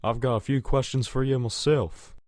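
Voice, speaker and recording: A man's voice: medium pitch, steady tone, normal speed, a little mellow, dry recording, studio quality, natural voice. A man voicing an easygoing but determined young farm stallion, drawling folksily in a Southern US accent in a fan audio story. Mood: insistent.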